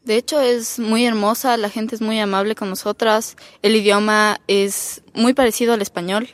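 A woman's voice: a little high, steady tone, moderate pace, no room sound. Ecuadorian teen girl, thoughtful speech. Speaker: exchange student. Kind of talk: casual chat.

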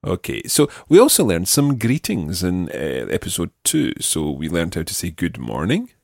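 Low-voiced male language teacher with lively intonation. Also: moderate pace, creaky, no echo, studio quality. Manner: patient, instructive, carefully enunciated. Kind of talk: spoken language lesson, prompting and repeating phrases.

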